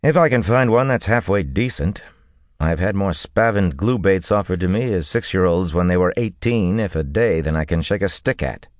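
A man's voice: low-pitched, expressive, normal speed, no room sound, studio quality, pitched above the performer's own voice. A man voicing a wry, doubtful male horse buyer haggling in an audiobook. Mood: wry, bantering. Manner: doubtful, grumbling bargainer. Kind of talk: audiobook character dialogue.

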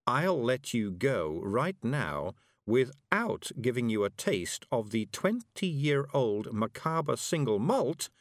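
A man voicing a stern, dry-humoured middle-aged witch who speaks slowly and deliberately. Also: medium pitch, very animated, no echo, voice pushed up. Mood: teasing threat.

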